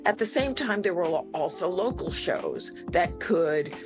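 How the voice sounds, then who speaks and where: A woman's voice: fairly low pitch, very animated, unhurried. A woman explaining history conversationally as an interviewed expert. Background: music.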